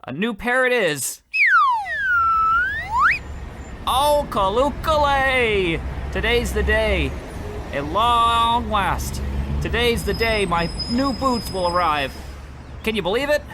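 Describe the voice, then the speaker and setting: A man's voice: high voice, animated, takes it slowly, very noisy. A man playing a meek, cheerful, hapless poor man in a radio comedy, stammering earnestly as he talks. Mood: elated. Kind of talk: old-time radio comedy acting.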